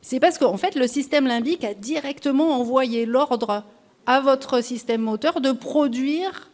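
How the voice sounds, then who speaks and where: A woman's voice: high-pitched, a little slow, darkish tone. A woman on a festival panel explaining, in a teaching manner, how the voice carries emotion. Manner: didactic, lecturing with vivid examples. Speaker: voice specialist panelist. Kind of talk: explanatory talk on a podcast panel. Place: festival round table before an audience.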